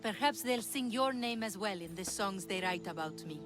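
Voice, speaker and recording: A woman's voice: medium pitch, expressive, moderate pace, close to an everyday voice. An actress playing a bold, self-assured young woman who yearns for heroic glory, in video game cutscene dialogue over music. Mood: determined, hopeful.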